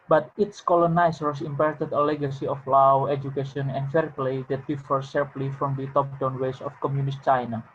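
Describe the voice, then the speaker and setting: A young man with an Indonesian accent reading a class presentation aloud, careful and flat, restarting words he trips over. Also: fairly high pitch. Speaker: student presenter. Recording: dull lo-fi audio with faint noise.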